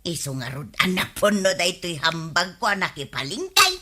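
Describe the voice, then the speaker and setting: A woman with an Ilocano accent playing a gossipy, complaining village housewife in an expressive radio comedy style. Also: on the low side, normal speed, full character voice.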